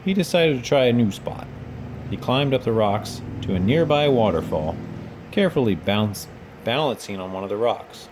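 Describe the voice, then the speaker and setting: A man's voice: mid-range voice, lively intonation, moderate pace, darkish tone, slight background noise. A man reading a children's storybook aloud expressively, as if for bedtime. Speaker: storybook reader, children's read-aloud host.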